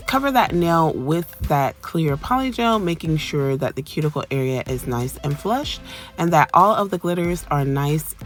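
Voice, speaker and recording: A woman in her thirties talks through a nail tutorial in a relaxed, chatty voiceover. Her voice sits on the low side with a gravelly fry. Soft music plays faintly behind her. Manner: chatty, says her voice shifts between soft and excited. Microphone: voiceover mic.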